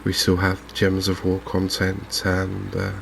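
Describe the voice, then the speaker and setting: A man hosting a gaming video in a softly spoken ASMR commentary style, unhurried and hesitant, musing to himself. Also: deep voice, monotone, creaky, noisy background.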